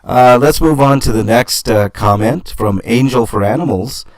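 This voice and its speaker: A man hosting a casual livestream, reading viewer comments and answering in a halting way with many fillers and restarts. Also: mid-range voice, a little mellow, dry recording.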